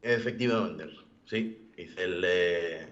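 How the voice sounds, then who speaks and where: Young adult male, deep-ish voice, halting and self-correcting testimony over dull online-call audio. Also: fairly bright tone. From born in the United States, living in Galicia, Spain. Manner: halting, rephrasing and repeating words. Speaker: event participant giving a personal testimony. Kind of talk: personal testimony in an online interview. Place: video call.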